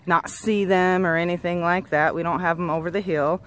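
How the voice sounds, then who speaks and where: A woman's voice: lowish voice, steady tone, brisk. Woman, 30s, homesteader host; casual rambling farm-tour vlog outdoors, handheld camera, light background hiss. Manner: chatty, with frequent restarts and ums. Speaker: goat farmer and channel host. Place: outdoors on a dry rural homestead. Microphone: camera held at arm's length, then walking behind it.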